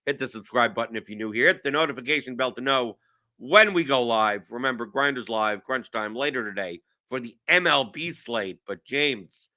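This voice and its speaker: A man's voice: mid-range voice, lively intonation, moderate pace, sharp tone. A man in his forties from New York, hosting a casual show in a rambling, self-mocking way with lots of restarts. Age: 40s. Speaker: show host. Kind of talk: casual chat.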